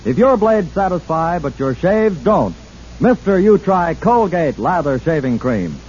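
Higher-voiced announcer, lively pitch, old radio commercial read, muffled, faint hiss. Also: male voice. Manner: brisk, upbeat salesman pitch.

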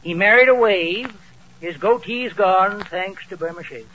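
An actor playing a deadpan old rural farmer who boasts drily in a 1940s radio comedy style. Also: male voice, high-pitched, measured pace. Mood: dry boasting. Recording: dull old radio recording.

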